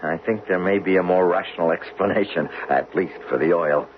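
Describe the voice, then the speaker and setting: An actor playing a bold, curious geologist who gives terse orders in a radio mystery drama. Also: male voice, low voice, brisk, close to an everyday voice. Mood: inquisitive. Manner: commanding, giving terse instructions. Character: bold, curious male geologist leading an underground expedition. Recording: dull, noisy old radio broadcast recording.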